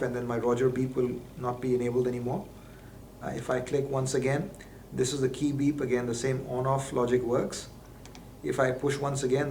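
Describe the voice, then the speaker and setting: A man's voice: mid-range voice, darkish tone. A man giving a methodical, explanatory product demonstration, as a presenter. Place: indoor lab. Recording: roomy echo, faint background noise.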